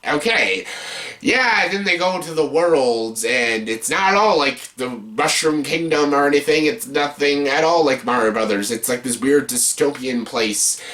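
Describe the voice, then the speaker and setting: Give the voice of a man reviewing on video in a casual, ranting style. He sits at a medium pitch and talks bluntly, with plenty of exasperation and frequent swearing. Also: animated, moderate pace, bright, forceful tone. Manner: blunt, heavily profane, exasperated. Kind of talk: casual ranked movie review rant.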